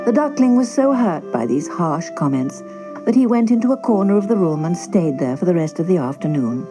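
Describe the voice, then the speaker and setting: A woman narrating a scripted children's story in an expressive storyteller's style. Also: fairly low pitch, brisk, over music, low-quality recording.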